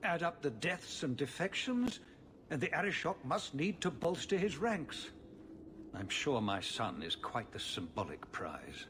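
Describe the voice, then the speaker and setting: A man's voice: a little high, big pitch swings, measured pace, background noise. An actor playing a formal, anxious city ruler pleading for help with his son. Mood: worried. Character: worried middle-aged male city ruler and father.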